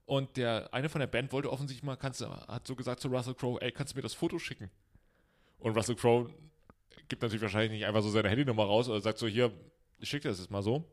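A man's voice: mid-range voice, fairly even intonation, fast. A young man co-hosting a comedy podcast, rambling and teasing with lots of fillers. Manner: rambling, joking, many fillers. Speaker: podcast co-host. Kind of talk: casual chat.

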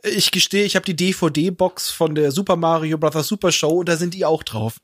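High-voiced man in casual podcast chat. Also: moderate pace, a bit bright. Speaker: podcast panelist.

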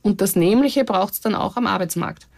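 A woman with an Austrian accent speaking as a care organization representative in a podcast discussion, thoughtful, with many pauses to rephrase. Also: mid-range voice, gravelly, no room sound. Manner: thoughtful, many fillers and restarts.

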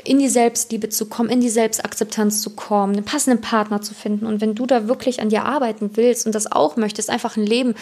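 A woman with a fairly high, very creaky voice hosts a motivational podcast. German is not her first language. She talks informally and directly, addressing the listener personally like a coach. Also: fairly even intonation, fast, hardly any pauses, darkish tone. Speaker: podcast host and self-love coach.

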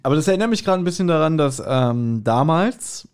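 Casual man podcaster, slightly high voice. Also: lively intonation, a little fast, a little mellow, smooth. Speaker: podcast host. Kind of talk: casual chat.

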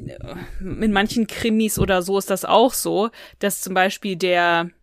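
A woman's voice: mid-range voice, steady tone, measured pace, no echo, studio quality. A woman co-hosting a podcast, giving her opinions in a casual, conversational review chat. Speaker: podcast co-host. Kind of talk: casual review chat about audio dramas.

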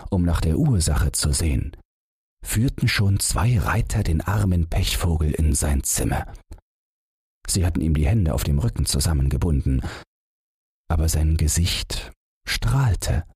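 A man's voice: low voice, darkish tone, husky, no room sound, studio quality. A man narrating a bedtime fairy tale as an audiobook reader.

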